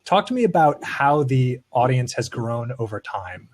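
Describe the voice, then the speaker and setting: A man hosting a casual interview, repeating words haltingly as he works his way into questions. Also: mid-range voice, very animated, slight background noise. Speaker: podcast host, interviewer.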